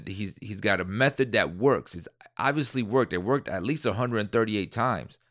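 Deep-voiced man reading and ranting. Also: fairly bright tone, no echo, studio quality. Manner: indignant, rambling asides with restarts. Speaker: podcast host. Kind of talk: reading aloud and commenting, casual.

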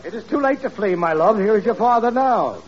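A man's voice: sits high, expressive, brisk, slight background noise. A man playing an earnest young lovesick prince in a mock opera, theatrical, in 1940s radio comedy style. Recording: muffled vintage radio recording, music, audience.

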